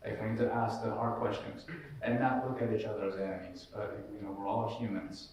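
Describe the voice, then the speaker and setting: A man's voice: on the low side, steady tone, brisk, a little mellow. Man from Colombia, echoey distant room. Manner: earnest, rambling, many fillers. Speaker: panelist. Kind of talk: casual panel discussion. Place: large echoey room, live event.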